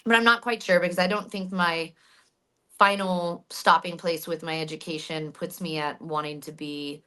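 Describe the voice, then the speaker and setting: Low-voiced woman in easygoing interview chat. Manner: animated storyteller, frequent fillers and restarts. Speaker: guest, stunt actress and hypnotherapist.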